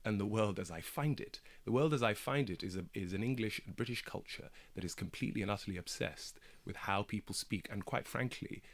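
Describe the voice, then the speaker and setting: Low-voiced earnest man in his thirties. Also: fairly quick, hardly any pauses. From Kenya. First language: Swahili. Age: 30s. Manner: earnest, emphatic, repeats words when stressing. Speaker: barrister and broadcaster guest. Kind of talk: radio studio discussion. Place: radio studio.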